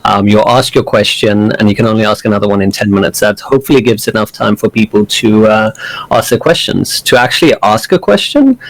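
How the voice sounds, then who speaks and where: A man's voice: on the low side, expressive, brisk, vocal fry, rough recording. A man from South Africa hosting a livestream panel in a casual, upbeat way with lots of fillers. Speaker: streamer, panel host.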